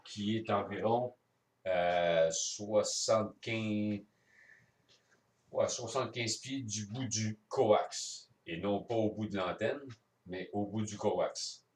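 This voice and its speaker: Low-voiced Quebec man, roomy and distant. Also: fairly even intonation, slow. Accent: Quebec French. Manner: explanatory, step by step. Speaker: amateur radio operator. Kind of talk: casual hands-on demonstration. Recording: roomy echo, distant microphone.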